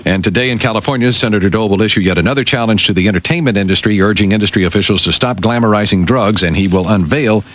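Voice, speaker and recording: A man's voice: on the low side, fast, hardly any pauses, fairly bright tone. A man with an American accent reading news on a radio show and adding wry, agreeable banter. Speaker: radio news anchor and sidekick. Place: radio studio.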